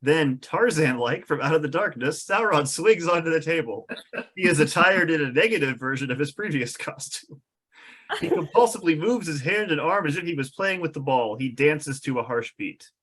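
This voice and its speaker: A man's voice: on the high side, animated, moderate pace, fairly bright tone, slightly rough. A man reading stage directions as narrator at a table read, descriptive and drily amused.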